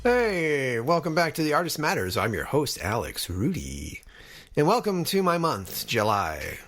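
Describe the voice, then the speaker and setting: A man's voice: on the high side, wildly swooping pitch, unhurried, fairly bright tone, faint music behind. A man of fifty hosting a podcast in a casual, conversational style, talking directly to his listeners as he introduces things. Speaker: podcast host.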